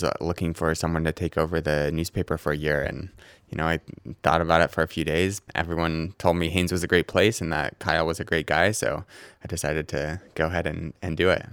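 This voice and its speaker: A man, a newspaper editor, talking in a relaxed, halting way with many fillers in a casual radio interview. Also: low voice, smooth, dry recording, studio quality.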